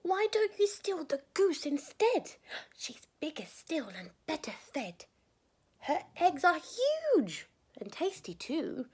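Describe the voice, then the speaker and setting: A woman voicing a panicky female duck who pleads in a high squeaky voice. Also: wildly swooping pitch, slow pace, heavily acted. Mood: pleading.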